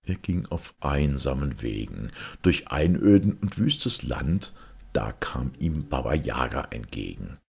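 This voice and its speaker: A man reading a fairy tale aloud as a storyteller, expressive and dramatic, with a gravelly, husky voice. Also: extremely low pitch, slow. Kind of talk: slow scripted fairy-tale narration.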